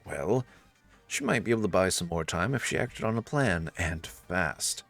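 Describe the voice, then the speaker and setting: A man's voice: sits low, expressive, moderate pace, creaky, fairly bright tone. A man narrating a visual novel in a storytelling style. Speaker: narrator and let's-play reader. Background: faint music.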